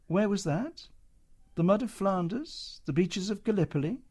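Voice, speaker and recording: A man's voice: high-pitched, animated, moderate pace, a little mellow, husky, voice pushed up. A man voicing a stern wartime hospital matron, firm and cutting.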